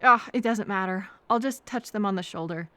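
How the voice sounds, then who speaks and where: A woman playing a kind, anxious, chatty traveler who gently overthinks and thinks aloud in a hesitant, earnest audio roleplay. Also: medium pitch, fairly quick, no room sound, lightly acted. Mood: anxious, dithering.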